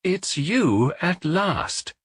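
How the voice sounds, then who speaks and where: A man voicing a sleepy, affectionate middle-aged wife who murmurs softly in a detective audiobook. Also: sits high, very animated, very slow, husky, dry recording, clean studio sound, voice pushed up, exaggerated voice. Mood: sleepy, relieved. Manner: soft murmuring.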